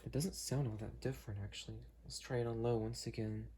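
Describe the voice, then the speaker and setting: A man's voice: medium pitch, steady tone, quick pace, heavy background noise. A man hosting an unboxing video, talking casually and hesitantly as he works through a product demo. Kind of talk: casual unscripted product demo with friends on a call.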